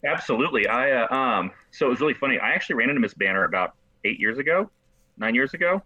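Fast-talking American man, low bright voice. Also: expressive, no room sound, dull audio. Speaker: podcast guest, entrepreneur. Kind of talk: casual chat.

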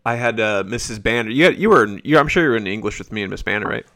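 A chatty American podcast host in his forties with a fairly low voice. He talks casually, as if catching up and reminiscing with someone he knows. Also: animated, racing, a bit bright. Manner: chatty, reminiscing, chuckles often. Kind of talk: casual conversational interview.

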